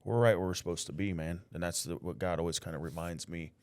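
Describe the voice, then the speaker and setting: A man's voice: low-pitched, fairly even intonation, fast, clean tone, no echo. A man hosting a podcast, speaking earnestly and often filling his speech with "like" and "man", sharing his own experience as he asks questions. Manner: earnest, faith-driven, fills with "like" and "man". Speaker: podcast host. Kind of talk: casual interview conversation.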